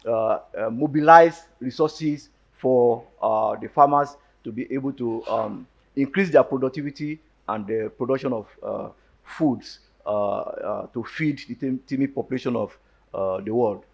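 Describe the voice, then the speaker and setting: A man speaking on a panel at a farmers' forum. He pauses often with fillers and restarts his phrases. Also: mid-range voice, vocal fry, breathy edge, highs cut off. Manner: hesitant, many fillers and restarts. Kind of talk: panel discussion answer.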